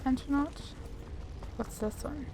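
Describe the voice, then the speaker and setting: A woman's voice: high voice, unhurried, heavy background noise. A woman giving casual, rambling, informal commentary while playing a video game. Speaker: gaming video host.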